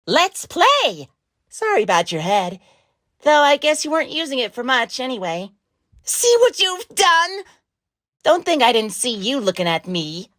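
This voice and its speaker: A woman's voice: sits high, very animated, measured pace, a bit bright, no echo, studio quality, exaggerated voice. A woman voicing a coy, flirty, taunting fox animatronic in a video game, playful and teasing. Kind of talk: video game character voice lines.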